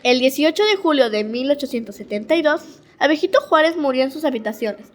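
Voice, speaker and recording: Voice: high voice, lively intonation, a little fast. A schoolchild with a Mexican accent reading scripted narration in a school audio drama. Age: child.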